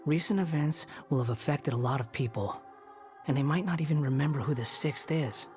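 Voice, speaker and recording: A man's voice: on the high side, a little fast, darkish tone, lightly acted. An actor voicing a bitter, proud young male former villain in a video game, with a dry, aloof manner. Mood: brooding, resigned. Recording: background music under voice.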